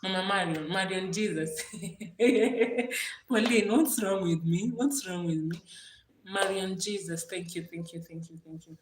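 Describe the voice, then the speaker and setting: A woman from Kenya with a Kenyan accent, chatting casually on a livestream, warm and gracious toward her viewers and repeating her thanks again and again. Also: mid-range voice, a little slow, reverberant, a little hiss or hum. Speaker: livestream host.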